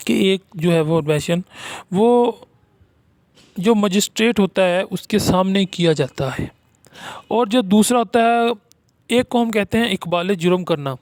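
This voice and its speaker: Man, Pakistani accent, high voice, slow pace, halting delivery, explanatory lecture from notes. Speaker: law lecturer and channel host.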